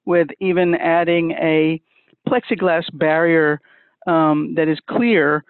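Man, higher pitch, very flat delivery, tinny dry phone line. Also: no room sound. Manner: measured, pausing with many uhs. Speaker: music school representative. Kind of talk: casual explanation.

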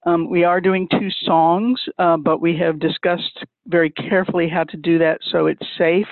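A man speaking for a music school, explaining plans in a measured way with many pauses. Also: fairly high pitch, level tone, no room sound. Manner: measured, pausing with many uhs. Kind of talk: casual explanation. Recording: dry, tinny phone line.

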